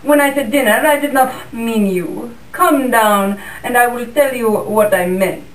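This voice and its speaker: A young woman voicing a cunning, smooth-talking male fox in a class puppet show, speaking in a flattering, honeyed way. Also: a little high, unhurried, natural voice. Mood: coaxing. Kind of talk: school puppet show acting. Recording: roomy sound from a distant mic.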